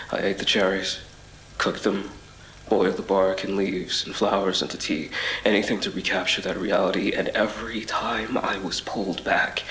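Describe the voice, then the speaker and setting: An actor playing a haunted, obsessive traveler between twisted worlds in a horror audio drama, speaking in a measured, brooding, literary way with halts. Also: male voice, deep voice, pushed and bright, a little hiss or hum. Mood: wistful, haunted. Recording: reverberant voice over music. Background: music.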